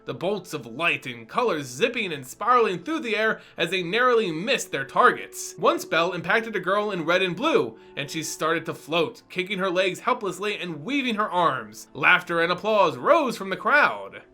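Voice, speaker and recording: Animated high-pitched man narrating fantasy. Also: normal speed, hardly any pauses. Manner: animated, expressive storytelling. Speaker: narrator and reader. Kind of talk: dramatic reading of fantasy story.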